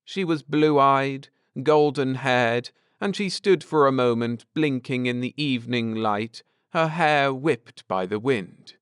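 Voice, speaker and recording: A man reading a bedtime story aloud in a measured, expressive storytelling manner. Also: mid-range voice, clear, resonant tone, no echo, studio quality. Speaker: audiobook narrator. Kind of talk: scripted bedtime story narration, read aloud.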